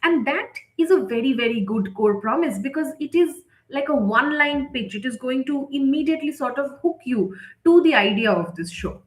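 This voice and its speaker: A woman's voice: high-pitched, lively intonation, moderate pace. A woman leading a live online writing lesson, explaining step by step and often checking in with the listener. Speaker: writing instructor, editor leading a session. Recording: echoey, roomy sound.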